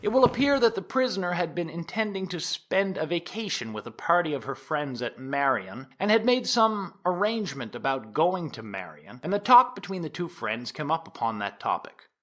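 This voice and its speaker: An earnest prosecutor of the 1890s in an audio courtroom drama. His voice sits fairly high and bright, swinging widely in pitch as he sets out his case. The phrasing is formal, deliberate and emphatic. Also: sing-song. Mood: grave, explanatory. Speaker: actor playing a prosecutor. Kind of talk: dramatic courtroom opening speech, formal oratory.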